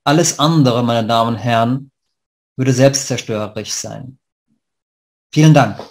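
Man reading a formal lecture by webcam. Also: mid-range voice. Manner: measured, formal delivery. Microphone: webcam on a video call.

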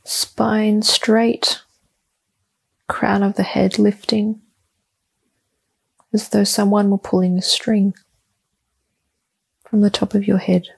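Slow, husky woman guiding meditation. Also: mid-range voice, rough recording. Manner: calm, soothing, deliberate with long pauses. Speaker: meditation guide. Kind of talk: guided meditation, soft slow instruction.

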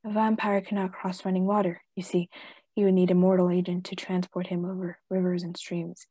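A woman playing a grave, authoritative vampire-hunting professor in a radio play, formal and deliberate, with a husky voice. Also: fairly low pitch, little pitch movement, mellow, dry recording. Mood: grave explaining. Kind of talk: theatrical radio play acting.

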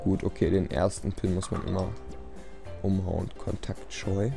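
A man's voice: low-pitched, level tone, unhurried, gravelly. A man giving casual, self-deprecating gameplay commentary in a resigned, rambling way. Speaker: let's play commentator. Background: game music.

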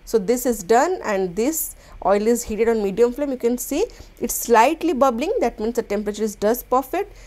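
A woman, hosting a cooking channel and explaining a recipe step by step in a gentle, instructional voice-over. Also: on the high side, expressive, normal speed. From India.

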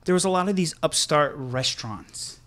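Podcast co-host, male, former chef, upbeat people-person tone, a bit high-pitched. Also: lively intonation, moderate pace, a bit bright. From United States, Connecticut. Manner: enthusiastic, self-described people person. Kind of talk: casual chat.

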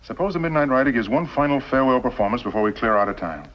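A man's voice: mid-range voice, expressive, very fast, close to an everyday voice. Man playing bitter, scheming outlaw, muffled. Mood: cold scheming. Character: bitter, cunning male western outlaw mastermind. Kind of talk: 1950s television western acting. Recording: muffled, noisy old television soundtrack.

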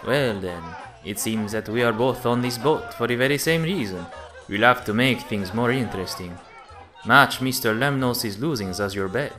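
A man playing a smooth, sociable gentleman gambler with a courteous, theatrical manner in an amateur radio play. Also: medium pitch, moderate pace, natural voice. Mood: intrigued. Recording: music and crowd chatter behind.

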